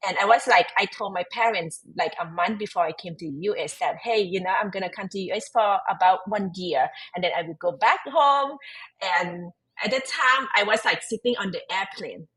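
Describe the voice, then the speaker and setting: A woman with a Thai accent, Thai her first language, tells her life story as an interview guest. She speaks earnestly and sometimes pauses to find words in English. Her pitch sits in a middle range for a woman. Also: a bit bright, low-quality recording. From Thailand. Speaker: tax advisor and podcast guest.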